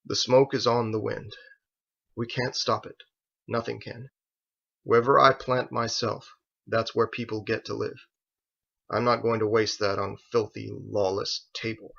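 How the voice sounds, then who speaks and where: A man voicing a calm, resolute master in an audiobook story, speaking in a measured, firm, matter-of-fact way. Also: fairly low pitch, gravelly, dull audio, full character voice. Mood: grave, resolute. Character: calm, resolute male master, patient and grave.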